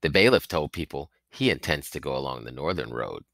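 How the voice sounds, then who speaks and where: A man's voice: a bass voice, animated, a little fast, creaky, a bit bright, no echo, clean studio sound, heavily acted. A man reading the part of a hesitant, evasive village boy bringing a message in a storybook. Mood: urgent. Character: village boy, slightly older, hesitant messenger.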